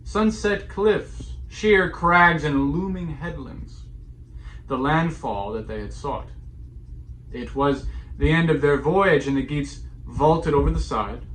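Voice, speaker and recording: A man's voice: mid-range voice, fairly bright tone. Man theatrically narrating epic verse, noisy recording. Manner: theatrical, solemn, deliberate pauses and swells. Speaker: storyteller performing an epic poem. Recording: clearly noisy recording, background ambience.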